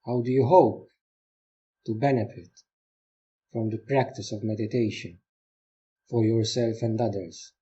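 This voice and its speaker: A man leading a guided meditation as a teacher, giving gentle instructions in short phrases with pauses. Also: on the low side, fairly even intonation, husky, muffled recording.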